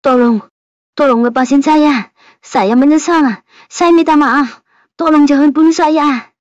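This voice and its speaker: A woman's voice: sits high, measured pace, a little mellow, no room sound, muffled recording. A woman playing a guilty, emotional young woman in a horror cartoon, with melodramatic delivery. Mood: terrified, pleading. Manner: emotional, dramatic. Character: guilty young woman, spiteful yet easily terrified. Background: background music.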